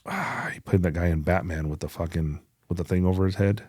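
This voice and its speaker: A man's voice: low voice, fairly even intonation, fairly quick, darkish tone, breathy edge, dry recording, clean studio sound. A man co-hosting a podcast in casual chat, with a blunt, profane way of talking. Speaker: podcast co-host. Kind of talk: casual podcast chat about hunting and events.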